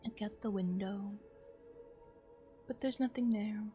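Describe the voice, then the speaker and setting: A woman's voice: medium pitch, measured pace, dark tone. Woman streamer over music. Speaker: live streamer. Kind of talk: casual game commentary.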